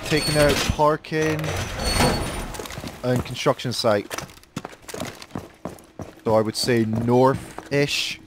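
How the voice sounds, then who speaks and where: A man talking to his team in voice chat during an online shooter match, giving quick callouts and swearing under pressure. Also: medium pitch, background noise. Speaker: gamer recording his match. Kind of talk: team voice chat while gaming.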